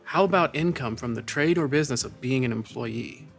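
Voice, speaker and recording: A man's voice: medium pitch, a little fast, gravelly, soft background music, close to an everyday voice. A man playing a curious male partygoer who asks plain questions.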